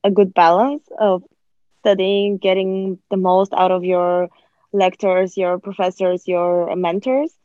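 Low-voiced woman host, dry lo-fi sound. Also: level tone, slow, dark tone, no room sound. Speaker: podcast host. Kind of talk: interview chat.

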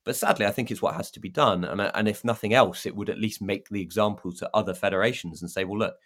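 A man with a very deep-pitched voice co-hosts a football podcast. He talks casually and conversationally, explaining his points in earnest. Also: fast, a bit bright. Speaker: podcast co-host.

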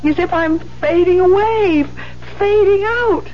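An actress playing an anxious, needy wife with halting, dramatic delivery in a 1970s radio drama. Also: female voice, very high-pitched. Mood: frightened. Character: anxious, needy wife fearing she is vanishing. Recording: dull 1970s radio recording, faint hum.

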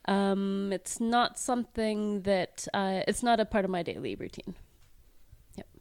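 A young woman from Montreal chatting casually on a podcast, relaxed and agreeable. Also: mid-range voice, a little slow, vocal fry, smooth, dry recording. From Montreal, Canada. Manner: easygoing, short agreeing replies. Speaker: podcast team member and editor. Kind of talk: casual team chat.

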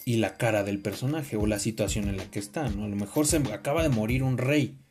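A man with a Mexican accent talking casually in an opinionated, slangy, expressive way, as a podcast co-host. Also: lowish voice, fairly quick, soft background music.

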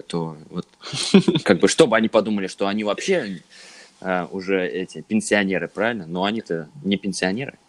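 A man's voice: sits low, no echo. A man in his twenties on a casual podcast, thinking aloud hesitantly with lots of fillers. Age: 20s. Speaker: podcast co-host.

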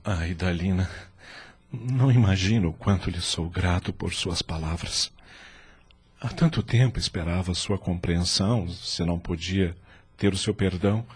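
An actor playing a humble, anxious middle-aged husband in a radio drama, with a Brazilian accent and a contrite, heartfelt delivery. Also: male voice, deep voice, moderate pace, breathy edge. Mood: remorseful, grateful.

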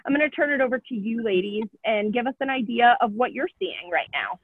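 A fairly high-voiced woman hosts a casual podcast with upbeat enthusiasm. Her voice comes through thin and tinny like a phone line, completely dry. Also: expressive, a little fast, breathy edge, no room sound. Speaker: podcast host, real estate agent.

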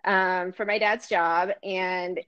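Relaxed woman with dull, lo-fi sound. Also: on the low side, takes it slowly, clear, resonant tone. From Florida. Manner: relaxed, hesitant, lots of ums and laughs. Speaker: guest artist. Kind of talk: casual interview chat.

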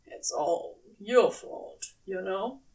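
A woman's voice: medium pitch, expressive, extremely slow, dark tone, far from the mic, pitched below the performer's own voice. A woman voicing a male demon who is earnest, defensive and wry in an audio story. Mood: teasing. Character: male demon, defensive, apologetic, wryly teasing.

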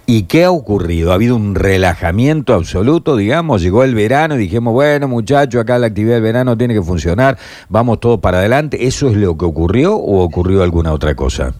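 A man's voice: lowish voice, animated, fast, a little mellow, no echo. A man, a radio host asking conversational questions. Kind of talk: radio interview.

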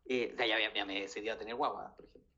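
A man's voice: fairly low pitch, steady tone, very fast, gravelly, bright, forceful tone. Chilean man in his thirties, hesitant guest recounting events, dull lo-fi recording. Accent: Chilean Spanish. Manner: hesitant, many fillers and restarts. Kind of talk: casual storytelling.

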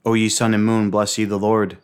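A man reciting liturgical prayers, psalms and hymns in a solemn, measured, reverent manner. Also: fairly low pitch, flat delivery, clean tone.

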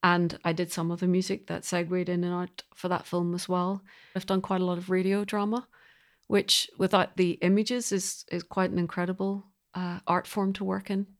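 A woman's voice: lowish voice, level tone, moderate pace. A woman talking as an interview guest about her creative work, thoughtful and emphatic, feeling her way through sentences. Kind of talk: reflective interview conversation.

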